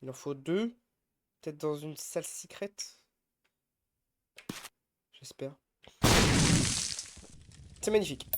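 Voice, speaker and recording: A man's voice: a little high, animated, slow, pauses often. A man with a head cold giving casual gameplay commentary as a streamer, thinking aloud as he counts and weighs his choices. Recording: loud background noise.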